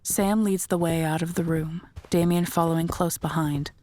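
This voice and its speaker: A woman's voice: on the low side, fairly even intonation, moderate pace, mellow, a little hiss or hum. A woman reading scripted third-person narration for an audio drama.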